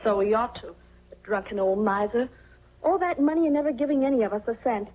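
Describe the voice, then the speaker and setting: Casting: high-pitched, high-strung young daughter, resentful and dreamy, on a dull vintage film track. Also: female voice, animated, fast talker. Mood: bitter, resentful. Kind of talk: 1940s film acting. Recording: dull 1940s film soundtrack.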